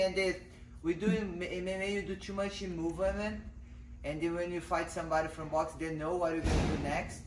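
A woman in her thirties, a native Portuguese speaker and fighter, talking casually in a boxing gym with halting English and many restarts. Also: sits low, recorded from a distance. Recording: echoey gym with clear noise.

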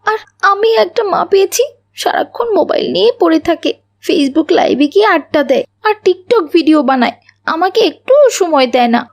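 Cartoon daughter, young schoolgirl: tiny high-pitched voice, slight fry, plaintive and meek. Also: lively intonation, normal speed. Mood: self-pitying. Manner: plaintive, whiny.

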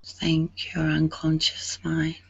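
A woman leading a guided meditation in a soothing, hushed and breathy manner, leaving long pauses. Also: sits low, completely flat delivery, highs cut off.

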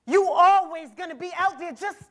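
A man's voice: very high voice, fairly even intonation, normal speed, a bit bright. A young man playing a skeptical, aggrieved teenage boy in a dramatic stage reading, with colloquial, pointed, questioning delivery. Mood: challenging. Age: young adult. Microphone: lapel mic on a stage.

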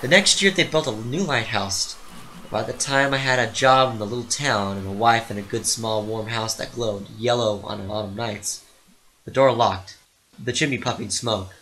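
A man narrating a literary story dramatically, with theatrical, deliberate phrasing. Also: lowish voice, sharp tone, a little hiss or hum, poor audio.

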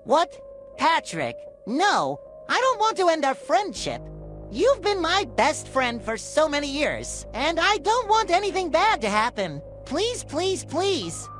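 A man voicing a shrill, whiny cartoon sponge. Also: sing-song, unhurried, a bit bright, faint music behind. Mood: pleading. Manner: high, whiny and shrill when upset. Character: jittery young male sea sponge, excitable. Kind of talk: fan storytelling.

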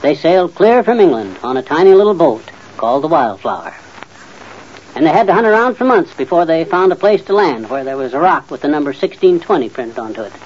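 An actor playing a blustery, folksy, know-it-all middle-aged husband in an old-time radio comedy. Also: male voice, fairly high pitch, big pitch swings, fairly quick, darkish tone, breathy edge. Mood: folksy, explaining. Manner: folksy, boastful, muttering and sputtering. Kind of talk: 1940s-50s radio comedy acting. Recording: muffled old radio recording.

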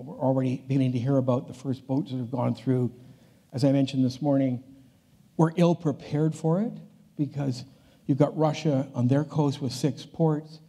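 Older man with a dark, mellow voice. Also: mid-range voice. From Canada. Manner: conversational, restarts phrases, walks the stage. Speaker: keynote speaker. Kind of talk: conference keynote lecture. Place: large conference hall stage.

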